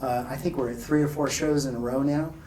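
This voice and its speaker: A man's voice: medium pitch, steady tone, brisk, warm tone, distant mic. Man explaining gear, off-mic in noisy room. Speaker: loudspeaker company founder being interviewed. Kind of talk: informal trade show interview, explaining technical details. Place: exhibit room at an audio show.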